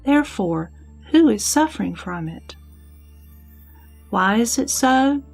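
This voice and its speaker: Slow, creaky-voiced woman as contemplative bodhisattva. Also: a little high, animated, faint music behind, heavily acted. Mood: calm, contemplative. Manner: contemplative, deliberate. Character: sick bodhisattva reflecting calmly on illness. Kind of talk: scripture audio drama.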